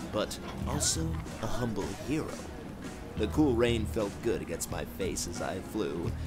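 A man giving dramatic, self-serious first-person narration of a scripted comic story in a theatrical manner. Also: lowish voice. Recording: music under the narration.

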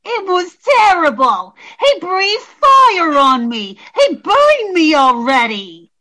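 A woman's voice: extremely high pitch, big pitch swings, slow, pushed and bright, heavily acted, rough recording. A woman acting a distraught, melodramatic damsel in distress in a comic radio drama parody. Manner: melodramatic, wailing. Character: shrill, distraught damsel in distress, nearly devoured maiden.

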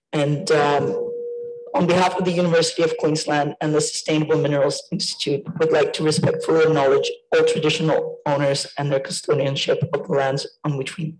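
A woman with a croaky voice, formally introducing a guest speaker as seminar co-chair in a lecture theatre. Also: low-pitched, a little mellow, low-quality recording.

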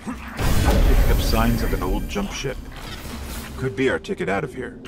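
An actor playing a small floating robot companion in a science-fiction game, eager, anxious and protective, speaking with earnest urgency. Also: male voice, medium pitch, sing-song, unhurried, creaky, full character voice. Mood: alarmed, urgent. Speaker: guide character in a science-fiction shooter game. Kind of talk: cinematic game voice acting. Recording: loud cinematic music behind the voice. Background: loud music.

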